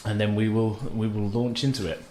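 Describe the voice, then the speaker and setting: A man's voice: lowish voice, flat delivery, fairly quick, darkish tone. A man hosting a tabletop role-playing game as game master, narrating in a casual, loosely improvised way. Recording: noisy room ambience.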